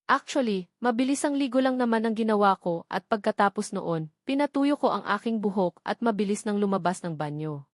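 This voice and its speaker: A woman's voice: medium pitch, a little mellow, no room sound, clean studio sound. A woman with a Filipino accent reading a first-person novel as a scripted narration. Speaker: audiobook narrator.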